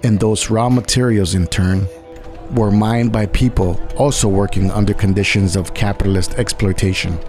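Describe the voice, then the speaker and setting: Low-voiced man narrating a political script. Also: steady tone, normal speed, darkish tone, soft background music.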